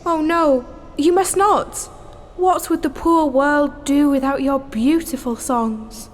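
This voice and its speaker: High-voiced, passionate young dancer. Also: female voice, slow. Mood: adoring, alarmed. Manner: earnest, declamatory. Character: idealistic young female dancer, passionate, romantic, earnest. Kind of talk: theatrical stage morality play acting. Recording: noisy, reverberant hall recording.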